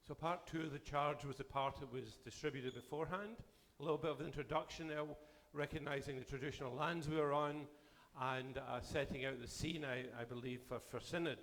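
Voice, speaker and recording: A man serving as bishop, delivering a formal address to a church assembly while losing his voice, with a measured pace and sentences that trail off. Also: a little high, steady tone, a bit bright. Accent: Canadian.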